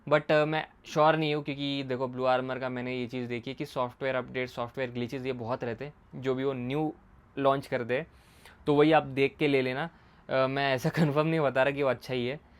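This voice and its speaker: A man's voice: mid-range voice, little pitch movement, a little fast, clear, resonant tone. A man giving a casual product explainer in a conversational way, mixing in English words and repeating his points. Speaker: motorcycle gear reviewer and video host.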